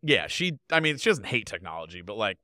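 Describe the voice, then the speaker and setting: A man in his thirties, a guest on a video podcast, talking casually and animatedly into a boom microphone in his home studio. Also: a little fast, dry recording. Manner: animated, gestures a lot while talking. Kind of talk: casual chat. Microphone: podcast mic on boom arm.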